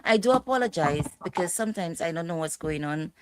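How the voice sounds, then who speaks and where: A woman's voice: lowish voice, lively intonation, a little fast, noisy background. A middle-aged woman hosting a casual family chat, talking in a rambling, chatty way with asides. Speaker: host, mother.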